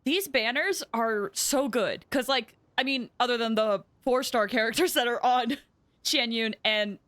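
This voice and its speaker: A woman livestreaming games, chatting casually with viewers and reacting in an excitable, expressive way. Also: fairly high pitch, a little slow. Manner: excitable, expressive, often bursting into squeals and laughter. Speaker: VTuber livestreamer, game streamer. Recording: loud music behind the voice. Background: music.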